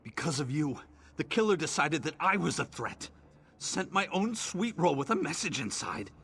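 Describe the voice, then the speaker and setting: A man's voice: fairly high pitch, very animated, normal speed, a bit bright, breathy edge, full character voice. A man voicing a dying male merchant who is bitter and scheming, speaking in an accusing way, as AI-voiced game dialogue.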